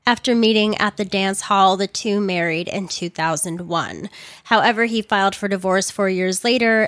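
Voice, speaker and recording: Woman, slightly hoarse voice, earnest narration, mid pitch, dry close recording. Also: fairly bright tone, no room sound. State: hoarse, losing her voice. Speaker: true crime narrator. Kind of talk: scripted narration with personal commentary.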